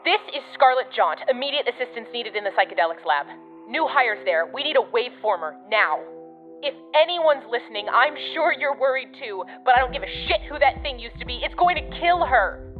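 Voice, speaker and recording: A woman's voice: fairly high pitch, animated, brisk, narrow, tinny audio, close to an everyday voice. An actress playing a blunt, sardonic, foul-mouthed woman agent of a secret department in an audio drama. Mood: urgent, furious. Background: music.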